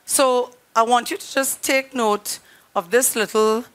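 Middle-aged Trinidadian woman preacher, a bit hoarse, earnest and emphatic, somewhat high voice, headset mic in a small studio. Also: fairly even intonation, unhurried, a bit bright. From Trinidad and Tobago. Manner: earnest, emphatic, direct address to congregation. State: slightly hoarse. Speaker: pastor. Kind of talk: sermon.

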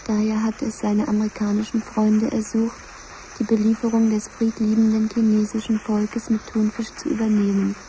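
An actress playing the official computer voice of a command centre in a 1970s radio drama, formal, measured and ceremonious. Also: female voice, mid-range voice, monotone, dark tone, a little hiss or hum, lightly acted, low-quality recording. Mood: solemn, official.

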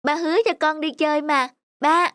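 A woman voicing a cheerful, excitable little boy in a cartoon, bubbly and childlike. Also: high voice, normal speed, noisy background, lightly acted. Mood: excited. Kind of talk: cartoon dubbing. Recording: clear music behind the voice.

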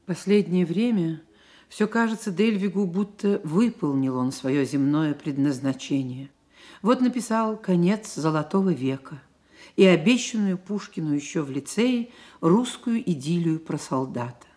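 Slow, lowish-voiced woman narrator. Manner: measured, expressive, reflective pauses. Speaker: narrator of a radio play. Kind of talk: scripted literary narration, Soviet radio drama. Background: music.

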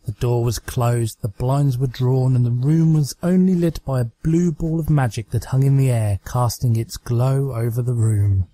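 Male amateur story reader: dark, breathy tone, dry recording, scripted narration. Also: medium pitch, steady tone, moderate pace, hardly any pauses, dry recording. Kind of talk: scripted fan-fiction narration.

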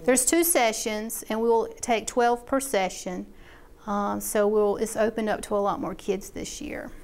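A woman's voice: medium pitch, steady tone, measured pace, darkish tone, a little hiss or hum. A middle-aged woman, an extension agent explaining a program in a chatty way, with many fillers. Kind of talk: interview about a program.

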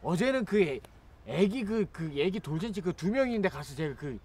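A man's voice: high-pitched, sing-song, normal speed, darkish tone, husky, exaggerated voice. An actor playing a whiny, worn-out middle-aged male singer who complains in a sitcom. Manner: whining, complaining. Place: outdoor park. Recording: background music under dialogue.

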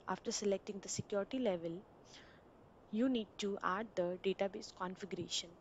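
A woman of middle pitch talks through a software installation one step at a time, in a plain instructional voice. A faint hiss sits under her voice-over. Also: lo-fi sound. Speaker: software tutorial narrator. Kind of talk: screen-recorded installation walkthrough.

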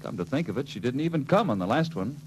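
A man as middle-aged salesman, emphatic, puzzled, very animated, faintly noisy 1940s film. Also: mid-range voice, fast talker, natural voice.

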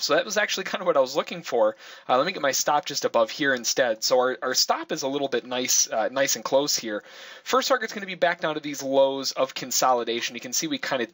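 A man with a medium-pitched voice talks through charts like an instructor. He explains his reasoning out loud in a casual, running commentary. The sound is close and dry, with no room around it. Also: no echo. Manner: explanatory, thinking aloud. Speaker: day trading instructor. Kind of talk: casual live trade commentary over charts.

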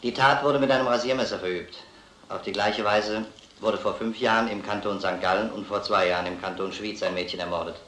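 Actor as crisp, bright-voiced police detective. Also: male voice, fairly low pitch, fairly even intonation, a little fast, close to an everyday voice. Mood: businesslike. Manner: matter-of-fact, formal. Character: brisk, factual police detective reporting to superior. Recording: roomy old soundtrack, some noise.

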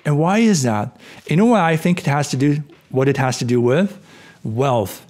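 A middle-aged man hosting a podcast, speaking from a home room into a studio mic, hoarse and clearing his throat, thinking aloud with fillers. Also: on the high side, moderate pace, darkish tone. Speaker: podcast host. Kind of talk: casual explanatory talk over screen share. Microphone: studio mic on boom arm.

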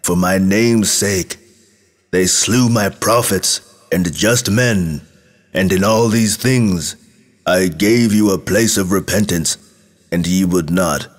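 A man's voice: deep voice, slow, big-room sound. A man voicing the Son of God as a grave, reproachful divine judge in a scripture reading. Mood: stern reproach.

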